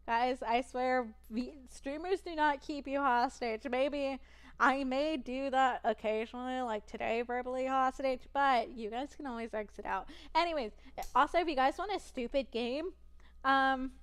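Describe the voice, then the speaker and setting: A young woman chatting on her stream in a rambling, giggly way, her voice high and smooth. It is naturally soft and a little tired from long hours of talking, and she keeps things casual and loose. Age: young adult.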